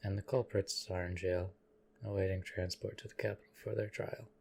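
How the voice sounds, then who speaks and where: A stoic, devoted knight acted by a man speaks in a low, even monotone. His delivery is formal, measured and deadpan, as in an intimate audio drama addressed to his liege. Noticeable background noise sits behind the voice. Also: vocal fry. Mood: formal reporting. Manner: deadpan, formal, measured, archaic courtly phrasing. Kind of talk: scripted audio roleplay addressed to the listener.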